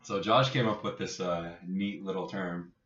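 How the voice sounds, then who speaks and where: Echoey distant recording, very low male voice, casual tech podcast co-host, informal and halting. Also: fairly even intonation, fairly bright tone. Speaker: co-host, app developer. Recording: echoey room, distant muffled mic.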